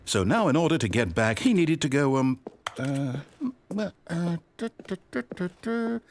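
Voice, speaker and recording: A man's voice: on the high side, big pitch swings, moderate pace, a little mellow, slight background noise. An actor playing a wry, sardonic male video game narrator who teases the player with dry mock praise. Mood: flustered.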